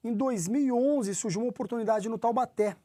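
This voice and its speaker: A man from São Paulo, a football club executive answering interview questions in a measured way, often restarting his sentences. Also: very high-pitched, dark tone, dry recording. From São Paulo, Brazil.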